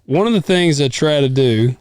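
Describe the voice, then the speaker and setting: A farmer in his thirties co-hosting a podcast, talking in a loose, rambling way and swearing now and then. His voice is smooth and clear. It is recorded close and dry, with no room sound. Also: on the high side, animated, moderate pace, darkish tone, no room sound. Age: 30s. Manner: easygoing, rambling, swears casually. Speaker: podcast co-host. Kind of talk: casual chat.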